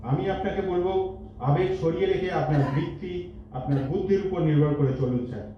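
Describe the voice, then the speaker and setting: A man acting a pragmatic senior company adviser in a staged audio drama, deliberate and deferential. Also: fairly high pitch, lightly acted. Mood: cautioning. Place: multipurpose hall stage. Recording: echoey hall, distant mic, noisy, dull.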